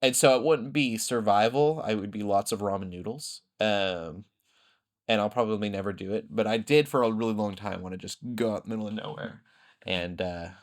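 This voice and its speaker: A man hosting a podcast, telling rambling, animated stories in casual conversation. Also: lowish voice, brisk. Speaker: podcast host.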